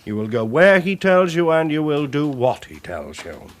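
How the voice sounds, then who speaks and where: Brisk British police chief. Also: male voice, a little high, wildly swooping pitch. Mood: curt. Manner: curt, authoritative, clipped orders. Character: brisk, commanding male police chief inspector. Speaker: chief inspector. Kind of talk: 1980s radio drama acting.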